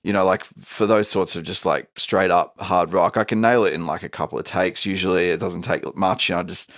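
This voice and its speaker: A man's voice: sits low, fairly even intonation, fairly quick, a bit bright, dry recording, studio quality. An Australian singer-songwriter being interviewed, a man giving long, talkative, opinionated answers in casual chat.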